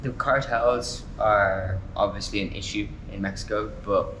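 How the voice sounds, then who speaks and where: A man's voice: fairly low pitch, unhurried, slight background noise, low-quality recording. A young man in his twenties with an Irish accent, chatting casually as an interview guest with lots of "um" and "like". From Ireland. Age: 20s. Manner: relaxed, frequent fillers like "um" and "like". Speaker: traveler guest.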